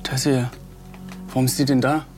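An actor playing a confused, dependent adult son, speaking in a halting, muddled way. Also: male voice, mid-range voice, little pitch movement, darkish tone, faint music behind, lightly acted.

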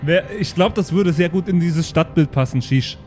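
A man's voice: a little high, normal speed, a little mellow, faint music behind, natural voice. A man playing an eager, naive son who chatters insistently at his papa in an improvised tabletop game.

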